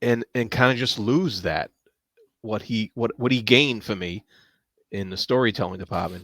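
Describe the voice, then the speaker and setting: A man talking casually as a panelist in a book discussion, halting and repeating words as he searches for phrasing. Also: sits low, a bit bright, no echo.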